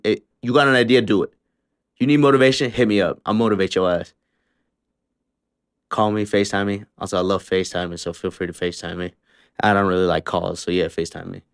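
A man's voice: low-pitched, clean tone, no echo, studio quality. A young man hosting his own podcast alone, talking casually with lots of slang, swearing and filler words. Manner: laid-back, slangy and profane, with frequent fillers. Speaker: podcast host. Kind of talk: casual solo podcast monologue. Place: Utah, Salt Lake area.

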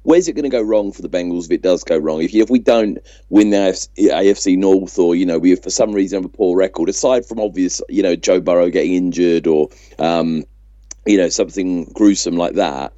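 A British man co-hosting a sports podcast, chatting in a measured, discursive way on a dull, muffled recording. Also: deep voice, warm tone, no echo. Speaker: podcast host. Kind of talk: casual sports chat.

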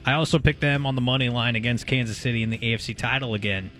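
A man's voice: medium pitch, quick pace, fairly bright tone, faint music behind. A man co-hosting a sports talk show, giving blunt opinions in casual conversation. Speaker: sports talk radio co-host.

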